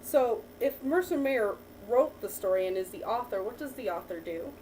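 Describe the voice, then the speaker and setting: A woman teacher reading a picture book aloud to a child, pausing to ask questions and praise the answers. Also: fairly high pitch, lively intonation, warm tone. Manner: patient, encouraging, asks and praises. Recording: distant mic with faint background noise.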